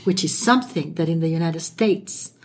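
A woman's voice: sits low, normal speed. A woman in her seventies from Chile whose first language is Spanish, chatting outspokenly as a guest. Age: 70s. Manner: chatty, warm-hearted and outspoken, with frequent "you know". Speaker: guest, podcast hosts' mother. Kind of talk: casual family conversation.

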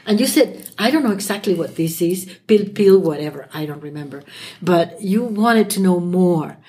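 A woman's voice: fairly low pitch, animated, moderate pace. A woman in her seventies from Chile whose first language is Spanish, chatting outspokenly as a guest. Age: 70s. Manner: chatty, warm-hearted and outspoken, with frequent "you know". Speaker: guest, podcast hosts' mother. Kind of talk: casual family conversation.

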